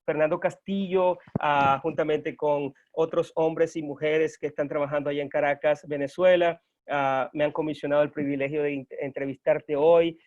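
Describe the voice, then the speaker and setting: A man's voice: a little high, fairly even intonation, moderate pace, few pauses, a little mellow. A man hosting an interview, speaking formally with hesitant "ah" fillers. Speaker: interview host. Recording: dull lo-fi sound.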